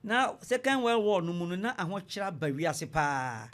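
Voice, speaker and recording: High-pitched Ghanaian man lecturing. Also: big pitch swings, moderate pace, fairly bright tone, slight background noise. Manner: didactic, emphatic, step-by-step explaining. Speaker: economics lecturer, studio guest. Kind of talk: explanatory radio interview, lecturing.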